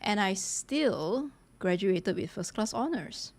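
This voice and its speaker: A young woman from Malaysia chatting casually as she reacts to a game, expressive and prone to repeating phrases. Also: medium pitch, slow. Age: young adult. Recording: game music behind the voice.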